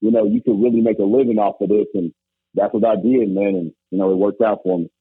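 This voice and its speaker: A man from Indiana with a loud voice, a former basketball coach, telling his story in an emphatic, animated way. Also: fairly low pitch, fast, breathy edge, no room sound. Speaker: guest, former college basketball coach turned musician. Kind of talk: casual conversation. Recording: tinny phone-like line, dry.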